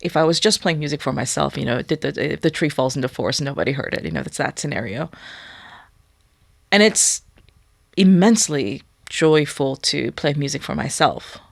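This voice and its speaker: A young woman whose first language is Korean, speaking thoughtfully in a studio interview and often pausing to search for words. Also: deep voice, animated, vocal fry, dry recording. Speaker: guest musician. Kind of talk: reflective interview talk.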